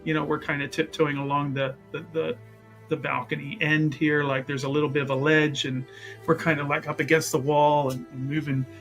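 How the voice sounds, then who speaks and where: A man improvising casual narration as a player in a tabletop roleplaying game, rambling and halting, often repeating words in bursts. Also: fairly high pitch, level tone, a bit bright, faint music behind.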